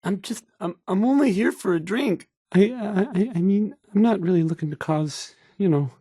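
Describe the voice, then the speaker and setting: An actor playing a timid, insecure young radio host in a video game, stammering and hesitant, trailing off anxiously. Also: male voice, sits high, normal speed, warm tone, husky, no room sound, clean studio sound. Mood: distressed, pleading.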